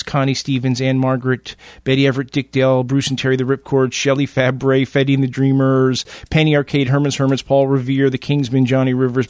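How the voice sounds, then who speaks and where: A man's voice: mid-range voice, no room sound, studio quality. A male radio host interviewing a guest, asking long, enthusiastic questions.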